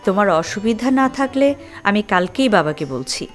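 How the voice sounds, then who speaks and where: Actress playing young female sweetheart, gentle, direct, very varied pitch, audio drama, light music underneath. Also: lively intonation, a little fast, natural voice. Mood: tender, frank. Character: trusting young Bengali woman in love, gently direct.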